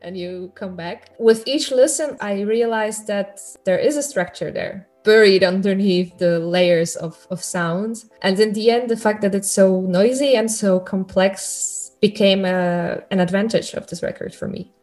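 A woman co-hosting a podcast, thoughtfully talking through an album and pausing to find words. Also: mid-range voice, darkish tone, faint music behind. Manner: thoughtful, searching for words with fillers. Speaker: podcast co-host. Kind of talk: casual chat about music.

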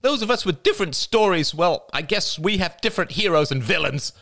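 A man's voice: fairly high pitch, big pitch swings, moderate pace, fairly bright tone, pitched above the performer's own voice. A man voicing a bitter, defiant young woman with a nasal voice that rises to a shriek.

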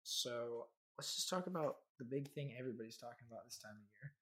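A man's voice: mid-range voice, animated, brisk, pushed and bright. A man in his twenties from Kansas, hosting a casual podcast chat in a loose, conversational way with many fillers. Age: 20s. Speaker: podcast host.

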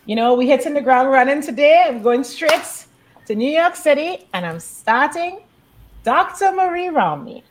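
Animated woman hosting a podcast. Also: high voice, moderate pace, slight background noise, poor audio. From West Indies. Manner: animated, affirming, playful. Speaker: podcast host.

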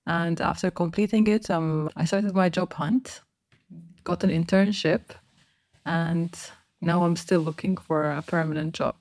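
A young woman from Sudan, a podcast guest explaining her moves abroad in a thoughtful, unhurried way. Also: on the low side, steady tone, a little mellow, no echo. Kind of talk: casual interview chat.

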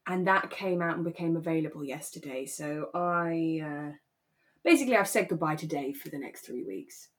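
Female podcast co-host, lowish register, informal and expressive, reviewing drama with a friend. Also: normal speed. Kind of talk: casual chat reviewing a radio drama.

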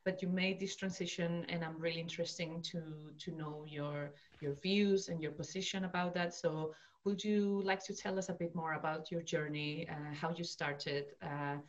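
A woman's voice: lowish voice, fairly even intonation, moderate pace, dull audio. A woman hosting a podcast interview, enthusiastic and hesitant, with many fillers. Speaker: podcast host. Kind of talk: casual interview chat.

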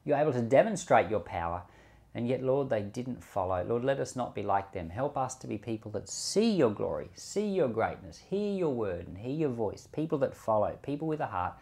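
A man with a mid-pitched voice gives Bible teaching in the role of a pastor. His delivery is conversational and explanatory, in the manner of a podcast commentary on scripture. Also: big pitch swings, normal speed, creaky, darkish tone. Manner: conversational, explanatory, with rhetorical questions.

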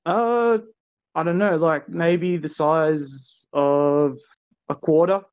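A man's voice: fairly high pitch, fairly even intonation, slow, smooth, dry recording, muffled recording. A man from Australia, a guest telling his story hesitantly with many fillers. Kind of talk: casual call-in interview.

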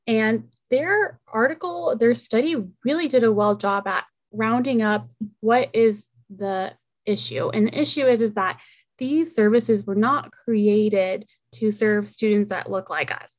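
A young woman whose first language is Spanish, co-hosting a podcast in an earnest, measured way that slows down on key points. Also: fairly high pitch. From Oceanside, California. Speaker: podcast co-host. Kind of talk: podcast discussion.

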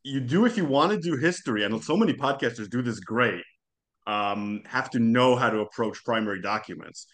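A man whose first language is Hebrew, explaining history in a relaxed, thoughtful interview with frequent restarts. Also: medium pitch, fairly quick. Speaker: podcast guest, historian. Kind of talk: casual interview conversation.

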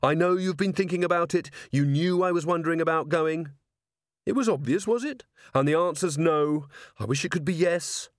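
A man voicing a wry, world-weary adult werewolf woman with a dry, brisk, guarded manner in a storybook reading. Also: fairly high pitch, no room sound, pitched above the performer's own voice, close to an everyday voice. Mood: exasperated.

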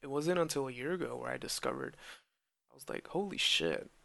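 A young man speaking slowly and earnestly in a personal monologue, with stuttered repeats and blocks. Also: medium pitch, big pitch swings, vocal fry, a bit bright, no echo. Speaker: podcast host who stutters.

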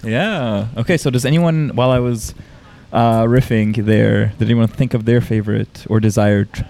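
Guy hosting a live campus podcast, gravelly, chill, asks lots of questions. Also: medium pitch, fairly even intonation, moderate pace, darkish tone, soft background music. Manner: laid-back, probing questions, laughs often. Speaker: podcast host. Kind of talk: casual live podcast chat. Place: university campus event.

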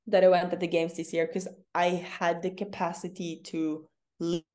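A young woman from northern Norway talks in English with a Norwegian accent, a relaxed interview guest who laughs easily. Her voice sits low and sounds warm and mellow. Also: moderate pace. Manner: candid, laughs often. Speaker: CrossFit athlete guest. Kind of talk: casual interview.

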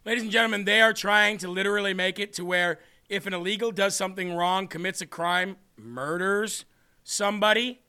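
A man hosting a political commentary show, mocking and sarcastic. His voice sits high and bright with a gravelly edge. He talks in a forceful, tense way. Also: fairly even intonation, brisk. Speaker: show host.

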